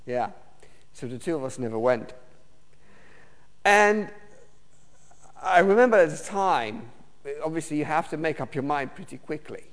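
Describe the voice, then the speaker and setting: A man from Romania, preaching a personal testimony sermon in a halting way, with many fillers and restarts. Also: a little high, lively intonation. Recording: long reverb of a hall.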